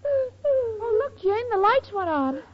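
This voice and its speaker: High-voiced, slow, dim sweet girl. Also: sing-song, highs cut off, full character voice. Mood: apologetic, naive. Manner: naive, guileless, literal-minded. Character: sweet, dim-witted young woman, innocently causing disasters. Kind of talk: 1940s radio comedy acting. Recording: noisy old radio recording, loud studio audience.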